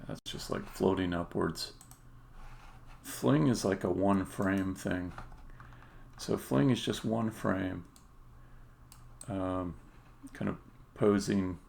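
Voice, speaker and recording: A man giving a casual live-stream demo as a game developer, halting and thinking aloud as he goes. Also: low voice, steady tone, gravelly, darkish tone. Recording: noisy, with keyboard typing and clicking.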